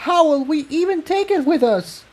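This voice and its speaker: A man's voice: very high-pitched, expressive, normal speed, darkish tone, pitched above the performer's own voice. A man voicing a frantic, tearful young female pony in a storybook reading, pleading and exclaiming. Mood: alarmed.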